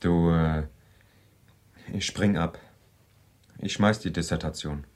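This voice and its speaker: An actor playing a casual doctoral student in a radio drama. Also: male voice, low voice, fairly even intonation, slow pace, darkish tone. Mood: casual, sheepish. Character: casual male student quitting his doctorate.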